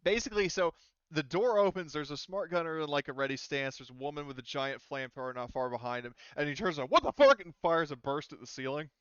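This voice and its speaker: Clear-voiced man running a tabletop game. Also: a little high, fast talker, fairly bright tone, dry recording, muffled recording. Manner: informal, swearing. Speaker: game master. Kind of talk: casual narration of a tabletop roleplaying game.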